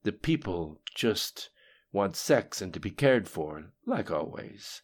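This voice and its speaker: A man voicing a smooth, deferential male schemer in an audiobook, with a formal and measured manner. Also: sits low, sing-song, full character voice. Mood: cynical. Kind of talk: audiobook character dialogue.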